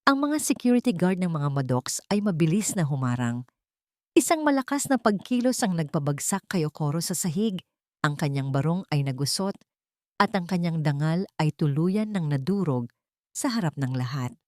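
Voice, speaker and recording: Lowish-voiced woman narrating drama. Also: very animated, normal speed, a little mellow, no echo, studio quality. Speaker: storyteller.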